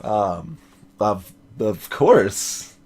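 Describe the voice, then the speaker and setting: A woman's voice: rumbling low, big pitch swings, very slow, a little mellow, husky, voice pushed down, full character voice. A woman voicing an earnest young male defense lawyer with a hesitant, stammering, dry delivery. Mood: awkward.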